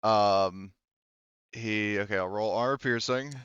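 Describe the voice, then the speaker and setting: Smooth-voiced man running a tabletop game. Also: fairly low pitch, takes it slowly, a bit bright, dry recording, highs cut off. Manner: hesitant, thinking aloud while working out rules. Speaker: game master. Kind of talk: running a tabletop roleplaying game, improvised narration.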